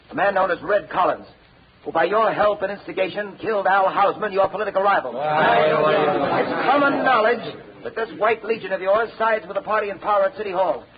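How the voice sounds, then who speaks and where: An actor playing an indignant, defiant captive prosecutor in a 1930s radio drama, impassioned and emphatic. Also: male voice, high voice, fairly quick, a loud crowd, natural voice. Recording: muffled 1930s radio recording.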